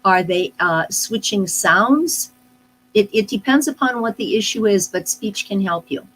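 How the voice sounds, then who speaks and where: Middle-aged woman guest, thinking aloud, mid-pitch, faint hiss behind. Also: expressive, fairly bright tone, rough recording. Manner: thoughtful, with frequent "uh" pauses and restarts. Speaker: speech-language pathologist guest. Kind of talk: live online interview, explaining casually.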